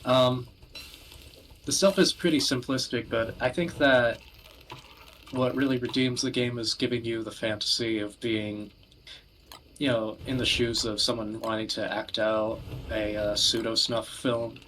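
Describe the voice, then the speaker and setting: A man hosting a casual discussion, speaking haltingly with frequent pauses as he searches for words. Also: mid-range voice, steady tone, fairly bright tone, a little hiss or hum, poor audio. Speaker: podcast host. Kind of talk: casual discussion of video games.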